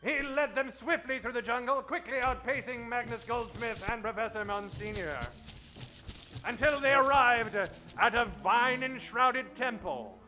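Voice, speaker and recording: A man narrates a comic old-time radio serial with grandiose emphasis. His voice is extremely high and sharply bright, with faint music behind it. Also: unhurried, low-quality recording.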